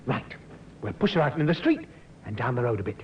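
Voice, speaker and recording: An actor playing a brisk, excitable car thief in an old radio drama. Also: male voice, medium pitch. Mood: stealthy, brisk. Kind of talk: 1950s radio drama acting. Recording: muffled, slightly noisy vintage radio recording.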